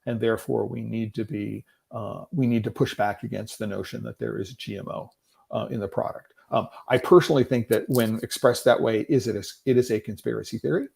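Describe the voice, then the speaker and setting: A man's voice: lowish voice, steady tone, a little fast, gravelly, darkish tone. A middle-aged man hosting a livestream, explaining in a loose lecture style with rhetorical questions, frequent "right?" checks and filler sounds. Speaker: livestream host.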